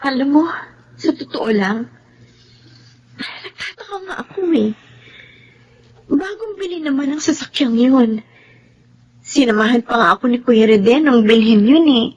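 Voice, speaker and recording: A hurt young heiress in a Filipino radio drama. Her voice sits a little high and is breathy and husky, and she speaks with plaintive emotion. The sound is slightly dulled. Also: measured pace, pauses often, a little mellow, highs cut off. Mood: puzzled.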